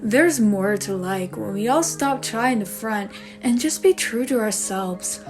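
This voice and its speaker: A woman playing an earnest, caring friend giving frank advice in a dramatic monologue, sincere and measured. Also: medium pitch, lively intonation, music in the background, close to an everyday voice. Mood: sincere, gentle. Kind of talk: dramatic monologue for a voice acting demo reel.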